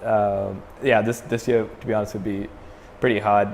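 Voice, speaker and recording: Young man with a low voice, echoey room. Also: normal speed, a little hiss or hum. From Sri Lanka. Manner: relaxed, many fillers and restarts. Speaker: metal band frontman, interview guest. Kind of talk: casual interview.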